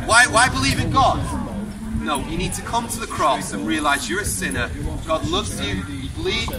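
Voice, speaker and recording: A young man preaching loudly and emphatically to passers-by in an open-air town square, asking the crowd one question after another. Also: high-pitched. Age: young adult. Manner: loud, emphatic, projecting to passers-by; asks the crowd repeated rhetorical questions. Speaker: street preacher. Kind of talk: open-air street sermon. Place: outdoor town square, busy pedestrian street. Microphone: far camera on a tripod. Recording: distant open-air recording, loud street noise. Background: loud street ambience and passing traffic.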